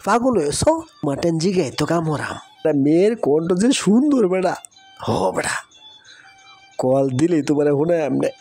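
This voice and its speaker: A man's voice: fairly high pitch, big pitch swings, moderate pace, darkish tone, slightly rough, no room sound, heavily acted. A man voicing a talkative villager in a cartoon, speaking familiar rural Bangladeshi regional Bengali. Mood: insistent. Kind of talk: cartoon dialogue in colloquial dialect. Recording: music behind the voice. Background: music.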